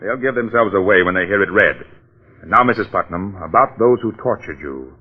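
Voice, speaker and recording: Methodical actor as radio detective, muffled old broadcast. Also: male voice, on the low side, animated, a little fast, natural voice. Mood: businesslike, reassuring. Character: calm, methodical male police detective. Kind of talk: 1940s radio acting.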